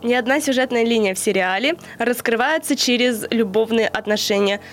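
A woman in her early twenties co-hosting a podcast, explaining a topic in a measured, lecture-like way with occasional hesitations. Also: fairly high pitch. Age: early 20s. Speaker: podcast co-host presenting the theory segment. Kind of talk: explaining from prepared notes, then casual chat.

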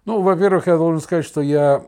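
A man's voice: a little high, a little fast, darkish tone. A middle-aged or older man co-hosting a podcast, chatting casually and telling anecdotes in a wry, joking way. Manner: wry, mock-plaintive joking. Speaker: podcast co-host.

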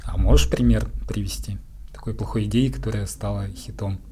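Casting: male interviewer, somewhat low pitch, informal and conversational, thinks aloud while asking. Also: fairly even intonation, a little fast, warm tone, slight background noise.

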